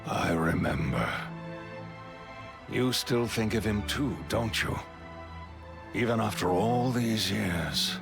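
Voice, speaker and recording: Gravelly, noble holy knight. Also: male voice, fairly low pitch, expressive, heavily acted. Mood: wistful. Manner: earnest, formal, measured. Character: noble, solemn winged holy knight, gentle counsellor. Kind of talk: fantasy game cutscene acting. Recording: music behind the voice. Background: game score music.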